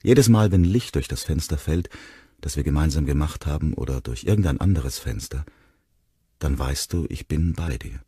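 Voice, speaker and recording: A grieving, gentle father in an audiobook, played by a man. His voice is extremely low and husky, murmured tenderly, recorded close and dry. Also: expressive, normal speed, no room sound, full character voice. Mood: tender, reassuring. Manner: tender, murmuring, choked with emotion. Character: loving, sorrowful father comforting his young son.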